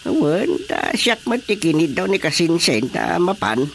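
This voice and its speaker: Animated older Ilocano father. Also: fairly high pitch, unhurried, vocal fry, a little hiss or hum, exaggerated voice. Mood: conversational. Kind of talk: Ilocano radio drama dialogue.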